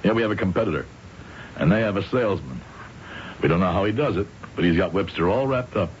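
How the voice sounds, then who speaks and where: Casting: anxious, deferential middle-aged company man, low-pitched, old radio drama, dull recording with music behind. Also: expressive, fast, a little hiss or hum. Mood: uneasy, resigned. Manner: hesitant, deferential with his boss. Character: anxious middle-aged salesman, deferential, uneasy, haunted by memory. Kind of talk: old-time radio drama acting, with narrated inner thoughts.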